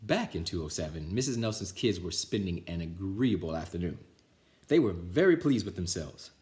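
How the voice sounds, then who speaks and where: A man reading a children's picture book aloud as storytime, with expressive, dramatic phrasing. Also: deep voice, moderate pace. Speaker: storytime reader.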